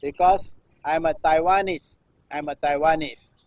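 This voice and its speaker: Guy in his 60s reciting like a performance piece, kinda high voice, dry sound, keeps repeating lines. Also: steady tone, no echo. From Singapore. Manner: repetitive, chant-like refrains with deliberate phrasing. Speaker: performance artist. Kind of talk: live performance recitation of a political text.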